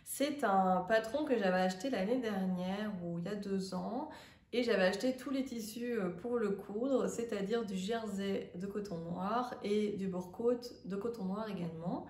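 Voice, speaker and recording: A woman with a stuffy nose from a cold, chatting casually as a sewing vlogger and pausing often with fillers. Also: mid-range voice, darkish tone. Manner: chatty, hesitant with many fillers. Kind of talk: casual vlog chat. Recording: roomy, distant from the mic.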